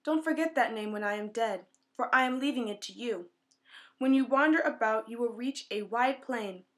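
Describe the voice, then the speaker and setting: A woman's voice: fairly high pitch, normal speed, fairly bright tone, natural voice. A woman reading as a solemn dying old man. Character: dying old man, kindly magic giver.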